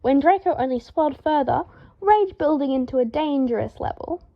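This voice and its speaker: Female audiobook narrator, higher register, expressive fiction read; slightly muffled home recording. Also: moderate pace.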